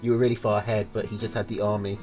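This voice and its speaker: A man's voice: fairly low pitch, little pitch movement, rapid-fire, darkish tone, faint music behind. A man with a nasal voice interviewing a player casually, tired and rambling. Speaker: stream interviewer, caster. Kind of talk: casual post-match interview.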